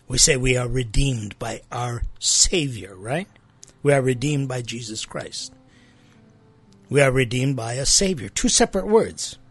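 Guy hosting a church talk show, bit husky, chatty, keeps saying right? and isn't it?, armenian first language, raised in the us. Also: mid-range voice. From United States. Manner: conversational, often adds rhetorical tag questions. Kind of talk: casual religious talk.

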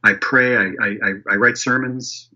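A man's voice: medium pitch, steady tone, normal speed, bright, forceful tone. A man in his fifties, a church leader, answering interview questions thoughtfully, with frequent restarts and light laughs. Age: 50s. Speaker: primate of a diocese. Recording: slightly dull lo-fi audio.

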